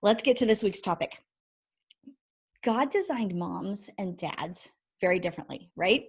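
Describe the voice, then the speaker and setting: A woman in her forties with a croaky, hoarse voice, hosting a chatty faith-based parenting vlog, laughing often and drifting into tangents. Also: mid-range voice, animated, unhurried. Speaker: vlog host and ministry founder. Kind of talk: casual faith-based parenting talk to camera. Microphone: microphone she sometimes bumps.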